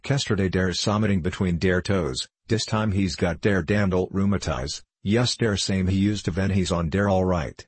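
A man's voice: low voice, normal speed, few pauses, slightly rough, no room sound. A man voicing a stubborn, ailing old German railroad worker with a growling voice, a thick German accent and broken English. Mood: grumbling. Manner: blunt, grumbling, broken English.

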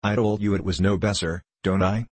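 A man's voice: deep voice, fairly even intonation, a little fast, no room sound. A man voicing a stubborn, ailing old German railroad worker with a growling voice, a thick German accent and broken English. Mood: grumbling. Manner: blunt, grumbling, broken English.

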